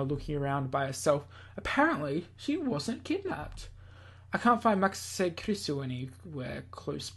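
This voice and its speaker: A man reading a visual novel aloud in a theatrical, slightly stumbling way, as host of a gaming video. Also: fairly high pitch. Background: game music.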